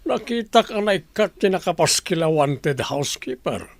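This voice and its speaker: An easygoing young friend in a radio drama. His voice sits on the high side with a gravelly fry. It is recorded close and dry. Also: expressive, normal speed, no echo. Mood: inquiring. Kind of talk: Ilocano radio comedy-drama acting.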